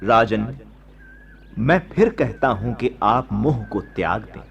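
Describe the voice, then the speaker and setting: An actor playing a serene, resolute monk teacher in a radio play, solemn and declamatory in formal, elevated diction. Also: male voice, fairly low pitch, expressive, takes it slowly, vocal fry, dull audio, heavily acted. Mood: calm, admonishing.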